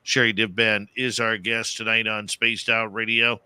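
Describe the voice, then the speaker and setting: A man's voice: fairly low pitch, moderate pace, clear, resonant tone, dry recording. A man hosting a late-night talk radio show, upbeat and chatty with his guest. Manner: upbeat, excitable sign-offs.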